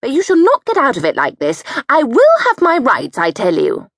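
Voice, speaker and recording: Woman putting on a shrill bullying wife. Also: huge pitch swings, normal speed, dry recording, studio quality, full character voice. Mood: indignant. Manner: loud hectoring, high-pitched.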